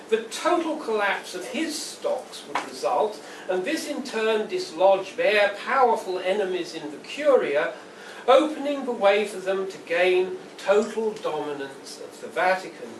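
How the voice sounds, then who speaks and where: A man giving a live poetry reading as the poet, with a measured, deliberate, declamatory delivery. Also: sits high, sing-song. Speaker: poet reading own work. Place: indoor venue at a launch reading. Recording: roomy, distant, noisy live event recording.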